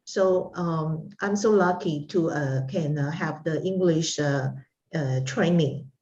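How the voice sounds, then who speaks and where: Slow, hesitant middle-aged woman, Mandarin native. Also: deep voice, a little mellow, lo-fi sound. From Taiwan. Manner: hesitant, many pauses and fillers. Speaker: interview guest, art instructor. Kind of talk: casual interview conversation.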